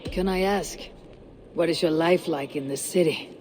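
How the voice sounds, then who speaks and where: A woman's voice: deep voice, moderate pace, noisy background, natural voice. A woman voicing a blunt Viking warrior. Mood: terse. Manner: terse, blunt.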